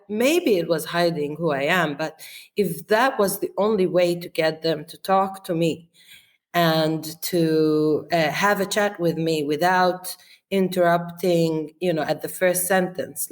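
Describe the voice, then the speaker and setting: Interview guest: woman, lower pitch, slow and thoughtful, laughs easily. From Israel. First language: Arabic. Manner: reflective, slow, laughs often, many restarts and fillers. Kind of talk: relaxed conversational interview.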